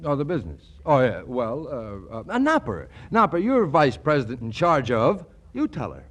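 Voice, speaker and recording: An animated, fairly high-voiced young man in a forties radio comedy. He stammers when flustered, then turns grand and breezy, swinging widely in pitch. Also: moderate pace. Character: excitable young man frantically squandering a windfall. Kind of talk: 1940s radio comedy acting.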